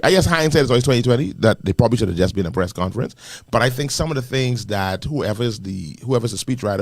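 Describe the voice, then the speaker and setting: A Bahamian man co-hosting a radio panel talk show, giving animated opinions with many restarts. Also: on the low side, dry recording. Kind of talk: casual radio panel discussion.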